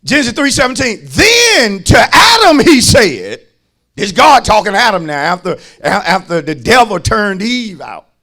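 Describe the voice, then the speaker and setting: Raspy, fervent American male preacher. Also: high voice, huge pitch swings, moderate pace. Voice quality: raspy, gravelly. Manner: folksy, emphatic, repeats phrases, swings from hushed asides to shouting. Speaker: pastor preaching to a congregation. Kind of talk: fervent sermon.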